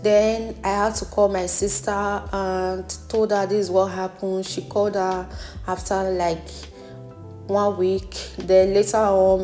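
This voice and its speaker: A woman's voice: medium pitch, warm tone. Earnest woman telling her story. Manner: earnest, encouraging, repeats phrases for emphasis. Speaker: wig maker vlogger. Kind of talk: personal story voice-over.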